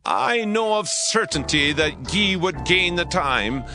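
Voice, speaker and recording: A man's voice: sits high, animated, slow, music in the background, exaggerated voice. An actor playing a proud, threatening Babylonian king in an audio Bible drama, commanding and declamatory. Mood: stern, threatening.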